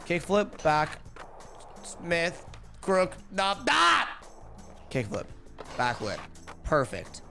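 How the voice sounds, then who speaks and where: A young man in his twenties streaming a skateboarding game, chatty and energetic, calling out his tricks, a bit hoarse. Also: on the high side, very slow, long pauses, soft background music. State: losing his voice. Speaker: gaming streamer. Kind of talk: live gameplay commentary. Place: home streaming room. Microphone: headset.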